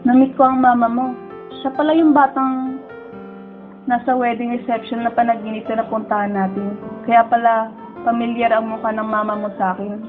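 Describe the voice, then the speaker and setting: A woman acting a high-voiced, emotional schoolgirl, phone-like sound. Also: little pitch movement, normal speed, pauses often, natural voice. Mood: concerned. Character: distraught young female student, sensitive, lonely, dreamy. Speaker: narrator and lead character in a radio drama. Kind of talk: emotional first-person radio drama narration. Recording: muffled phone-like sound with music underneath.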